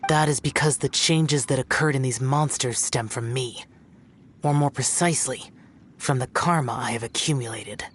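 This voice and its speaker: A man's voice: medium pitch, normal speed, slight background noise, close to an everyday voice. A man voicing an aloof young male demon-slaying guardian in a video game who speaks in a formal, terse and solemn way. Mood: grave. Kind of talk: video game cutscene voice acting.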